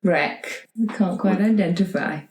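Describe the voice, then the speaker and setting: A woman's voice: fairly low pitch, a little slow, a little mellow. Woman improvising in an echoey room. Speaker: tabletop game player. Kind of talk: casual improvised storytelling. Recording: roomy, echoey room sound.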